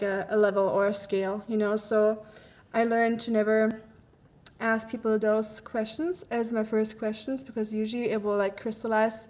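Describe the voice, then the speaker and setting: A woman's voice: on the high side, a little mellow. A young woman from Germany, hosting a livestream and chatting frankly with viewers, with lots of ums and likes. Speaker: livestream host. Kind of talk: casual chat reading viewer comments.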